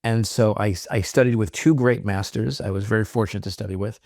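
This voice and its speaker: A man's voice: lowish voice, steady tone, fairly quick, gravelly, a little mellow, dry recording, clean studio sound. A man guest speaking on a podcast, explaining ideas thoughtfully with frequent "um" and "right?". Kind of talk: reflective interview talk.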